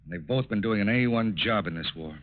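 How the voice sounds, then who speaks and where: An actor playing a steady, determined federal agent in a 1940s radio drama, terse and assured. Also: male voice, fairly low pitch, a little fast, close to an everyday voice. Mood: satisfied, firm. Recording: muffled, very noisy 1940s radio recording.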